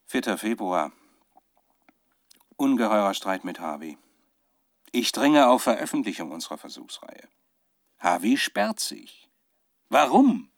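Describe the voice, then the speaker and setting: A man's voice: on the low side, sing-song. An actor playing a male scientist who keeps a troubled, fervent spoken research diary in a radio drama, often searching for words. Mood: agitated, troubled. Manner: halting, searching for words, dramatic shifts from musing to fervour. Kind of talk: radio drama monologue as dictated audio diary.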